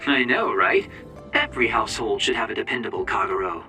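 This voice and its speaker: Bright, breezy young soldier. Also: male voice, on the low side, very animated, brisk, distant mic, faint music behind, exaggerated voice. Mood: playful. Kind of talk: video game cutscene acting.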